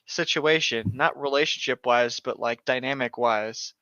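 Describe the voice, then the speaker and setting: A man's voice: mid-range voice, unhurried, sharp tone, clear, resonant tone, dry recording, muffled recording. A man in casual banter, reading aloud and reacting with joking, mock-exasperated sarcasm. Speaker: co-host reacting to online horror fiction.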